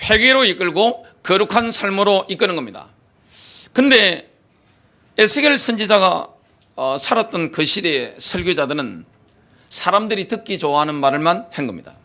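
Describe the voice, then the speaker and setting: A middle-aged male pastor preaching in a church sanctuary with a high, lively voice that leaps up and down. His tone is bright and gravelly, and he presses his points with rhetorical questions. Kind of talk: sermon.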